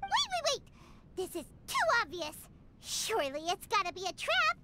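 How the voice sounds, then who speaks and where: Casting: childlike fairy sidekick for a video game, very high-pitched and hugely animated, excitable temper. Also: female voice, moderate pace, background noise, exaggerated voice. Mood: alarmed. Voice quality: squeaky, childlike. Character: tiny excitable floating fairy companion girl, jumpy.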